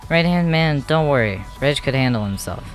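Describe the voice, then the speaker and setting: A man's voice: medium pitch, animated, normal speed, voice pushed up, close to an everyday voice. A man voicing anxious, alarmed male gang members in a comic dub, urgent and exclamatory. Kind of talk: comic dub character acting. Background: music.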